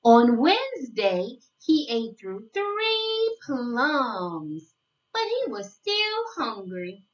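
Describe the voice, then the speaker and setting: High-pitched, sing-song female storybook narrator. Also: huge pitch swings, far from the mic, lo-fi sound. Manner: sing-song, deliberate phrasing. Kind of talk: read-along storybook narration for young children.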